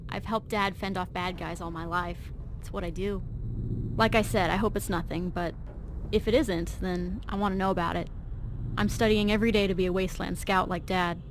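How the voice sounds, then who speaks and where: A woman's voice: on the low side, fairly even intonation, moderate pace, noisy background, close to an everyday voice. A young woman playing an anxious, driven teenage vault girl in video game dialogue, chatty, earnest and slangy. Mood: playful, determined.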